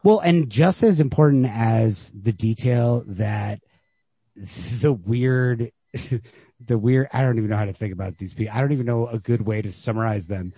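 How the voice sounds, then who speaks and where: A man's voice: medium pitch, moderate pace, dark tone, husky, no echo. A man hosting a podcast, casually discussing a story in a rambling way, retelling it with quoted reactions of his own. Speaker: podcast host. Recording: lo-fi home podcast recording.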